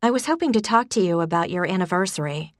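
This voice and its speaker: A woman voicing an eager young woman who speaks emphatically, pitched above her narration. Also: expressive, quick pace, no room sound, studio quality, natural voice.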